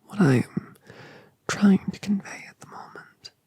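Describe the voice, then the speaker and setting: A woman voicing a colossal, tender mother dragoness in a whispered ASMR roleplay, speaking softly and soothingly. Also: medium pitch, dry recording. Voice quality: breathy whisper. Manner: soft, slow, soothing.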